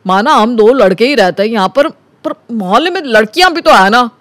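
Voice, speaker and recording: A man playing a cunning, smooth-talking young schemer in an animated story, with a colloquial, teasing way of talking. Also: extremely high pitch, moderate pace, no echo. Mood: smooth, ingratiating. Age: young adult. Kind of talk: Hindi animated story dialogue.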